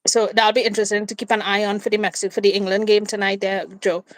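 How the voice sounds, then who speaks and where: A woman's voice: mid-range voice, flat delivery, quick pace, dry recording. Female livestream co-host, Trinidadian accent, chatty and playful, recorded dry at home. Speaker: co-host of a live watchalong. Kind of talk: casual live chat. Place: indoors in a room at home.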